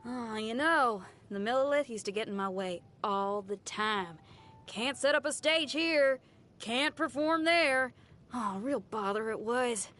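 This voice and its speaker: A woman's voice: fairly high pitch, lively intonation, measured pace, fairly bright tone, faint music behind. A woman playing a brash, cheerful young female rock musician in video game voice lines, chatting in a folksy, playful way. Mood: mildly exasperated. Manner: chatty, folksy, colloquial with tag phrases.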